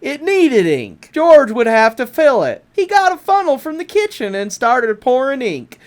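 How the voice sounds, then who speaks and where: A woman reads a picture book aloud for children. Her voice sits fairly high and swings widely up and down. She narrates expressively, stretching out words for emphasis. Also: big pitch swings, a little slow, a little mellow. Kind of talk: animated read-aloud bedtime story narration.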